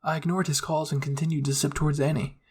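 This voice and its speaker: A man's voice: on the high side, level tone, quick pace, a little mellow, slightly rough. A man reading scripted first-person roleplay narration as a storyteller.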